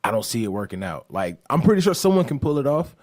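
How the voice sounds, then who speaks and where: A man's voice: mid-range voice, sing-song, fast, a little mellow. An American man bantering casually on a podcast, blunt and slangy in the way he talks. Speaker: podcast panelist.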